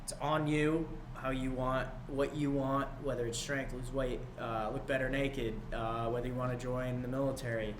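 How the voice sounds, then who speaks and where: A man in his thirties, a personal trainer and coach, talking as a podcast guest in a relaxed, rambling way with many fillers. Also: medium pitch, moderate pace, hardly any pauses, background noise. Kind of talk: casual podcast chat.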